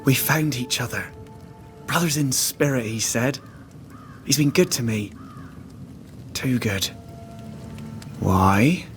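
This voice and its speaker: An actor playing a haunted, starving trapper, simple, devout and loyal, speaking in plain rustic phrases in a game drama. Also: male voice, medium pitch, lively intonation, a bit bright, faint music behind. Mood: wistful, uneasy. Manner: rustic dialect phrasing, plain halting sentences. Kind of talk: dramatic video game cutscene acting.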